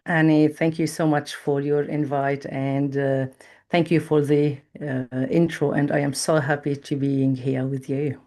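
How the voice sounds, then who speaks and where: A middle-aged woman from Lebanon, a trauma therapist, speaks in a measured, thoughtful way with frequent pauses as she tells her personal story in an interview. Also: low-pitched, level tone, mellow.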